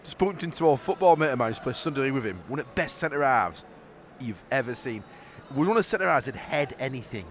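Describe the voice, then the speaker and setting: A Yorkshire man co-hosting a podcast, chatting casually and excitably while watching football. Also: medium pitch, quick pace, a little hiss or hum. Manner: excitable, laughing, reacting to the match. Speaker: podcast co-host.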